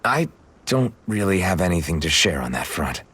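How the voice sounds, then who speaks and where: A low, husky, easygoing young swordsman. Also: sing-song, moderate pace, full character voice. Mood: guarded banter. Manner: casual, gently teasing. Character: easygoing, modest young male swordsman, gently teasing. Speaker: voice actor in a fantasy video game. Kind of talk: video game cutscene dialogue.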